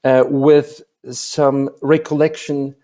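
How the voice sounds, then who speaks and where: A man's voice: fairly high pitch, little pitch movement, slow, warm tone. A man with a Polish accent who hosts a festival interview over a video call, speaking formally with many hesitations and filler sounds. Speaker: festival program director, interviewer.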